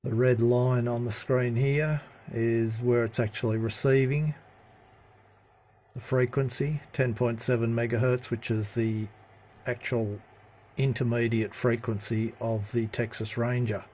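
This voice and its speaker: A man giving a casual, hands-on CB radio hobby demonstration in a halting, matter-of-fact way, recorded on a handheld phone. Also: medium pitch, flat delivery, darkish tone. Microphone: handheld phone camera. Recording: noticeable background noise, handheld phone recording.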